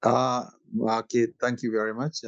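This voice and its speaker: A man's voice: medium pitch, little pitch movement, moderate pace, dry recording. A man, a retired customs officer, introducing himself politely and formally on a video call. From Ethiopia. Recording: muffled video-call audio.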